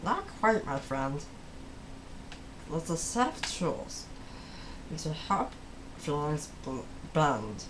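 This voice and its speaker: A storybook reader voicing a caring brain character who helps gently, reassuring and unhurried. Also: medium pitch, sing-song, background noise. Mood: encouraging.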